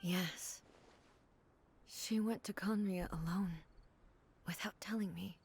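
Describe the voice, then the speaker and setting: Casting: an ageless ruler-goddess for a game. Breathy, soft, solemn and restrained, grief held beneath formal delivery. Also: female voice, fairly low pitch, fairly even intonation, slow, full character voice. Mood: somber, grieving. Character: solemn, grieving female electro god, regal and ageless. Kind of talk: scripted game dialogue.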